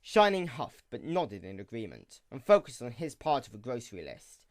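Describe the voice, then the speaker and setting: A man's voice: mid-range voice, big pitch swings, moderate pace. A man reading scripted story narration for an audio drama.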